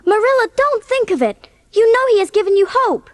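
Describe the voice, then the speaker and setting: A woman playing an earnest, impulsive, warm-hearted teenage orphan girl in an audiobook drama, speaking with eager emotion. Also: extremely high pitch, lively intonation, brisk, no echo. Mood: alarmed, pleading.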